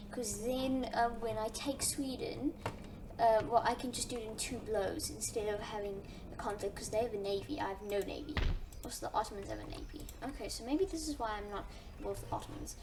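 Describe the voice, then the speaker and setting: A woman's voice: on the high side, fairly quick, background noise. A woman playing a strategy game, thinking aloud and chatting casually to her viewers about her moves. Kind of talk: casual let's-play commentary. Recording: distant mic with loud game music. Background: game music.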